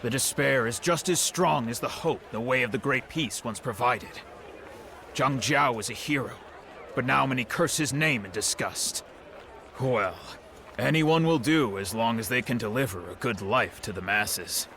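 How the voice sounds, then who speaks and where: A man's voice: medium pitch, animated, measured pace, sharp tone, slight background noise. An actor playing a polite, gossipy innkeeper who is eager to serve his customers. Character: friendly, chatty male innkeeper. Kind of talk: game cutscene voice acting.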